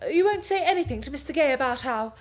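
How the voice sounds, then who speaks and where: A flustered young wife with a high voice, fussing and fretting over everything at once. Her lines come in the anxious, fluttering manner of a 1930s radio play. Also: expressive, fast talker.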